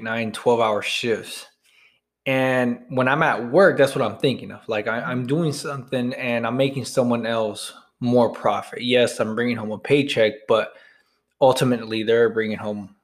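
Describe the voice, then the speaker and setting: Male podcast co-host, medium-pitched voice, rambling and frank, casual swearing. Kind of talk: casual chat.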